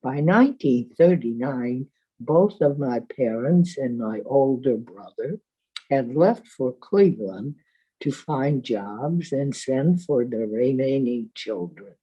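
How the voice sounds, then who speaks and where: Old lady in her 90s from hungary, really mellow husky voice, slow, telling her survivor story. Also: extremely low pitch, animated, muffled recording. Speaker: Holocaust survivor giving testimony. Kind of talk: prepared personal testimony.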